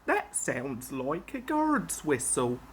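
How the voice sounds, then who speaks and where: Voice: medium pitch, huge pitch swings, takes it slowly, very noisy, pitched below the performer's own voice. A woman voicing a polite, worried little tank engine in a storybook reading.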